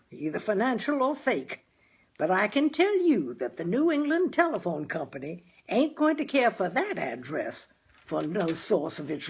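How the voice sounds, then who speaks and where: A crotchety, stubborn father from a storybook, played by a woman, husky and blunt. The folksy lines are declaimed with swooping, emphatic pitch. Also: heavily acted.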